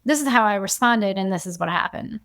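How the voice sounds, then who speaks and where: A woman human design coach talking as a podcast guest, chatty and relaxed, and she often says "like.". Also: medium pitch, brisk. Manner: chatty, fills her speech with "like". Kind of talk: casual podcast conversation.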